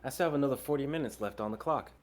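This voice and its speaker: A man's voice: mid-range voice, racing, slight background noise, natural voice. A young man playing an optimistic, easygoing store worker in a dramatic script reading. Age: young adult.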